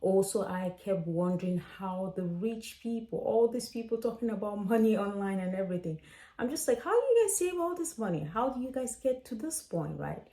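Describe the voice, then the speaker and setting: A woman's voice: mid-range voice, expressive, moderate pace, mellow, reverberant. A woman in her late twenties from Cameroon, hosting a casual advice vlog and talking straight to viewers in a chatty, direct way. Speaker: financial coach and host.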